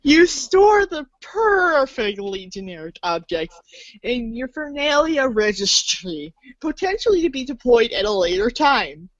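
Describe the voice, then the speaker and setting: Animated, higher-voiced woman narrator. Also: a little slow, no room sound. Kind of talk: storybook narration in a fan readers' theater. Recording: dull lo-fi audio.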